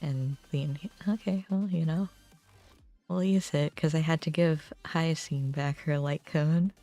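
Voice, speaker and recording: A woman livestreaming a game, chatting casually and thinking aloud in a halting way. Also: low voice, fairly even intonation, warm tone. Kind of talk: casual chat while playing a game. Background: game music.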